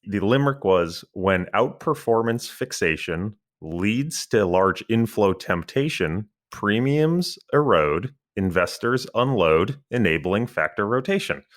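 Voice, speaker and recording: A man with a low, deep voice hosts a podcast interview. He talks in a casual, chatty way and makes fun of himself a little. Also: expressive, measured pace, few pauses. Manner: chatty, self-deprecating quant talk. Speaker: podcast host.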